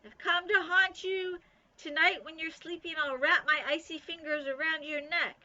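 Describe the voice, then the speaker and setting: A woman reading aloud, voicing a loud, mischievous young girl who yells and puts on a spooky ghost voice. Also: very high voice, fairly even intonation, moderate pace, muffled recording, voice pushed up, exaggerated voice.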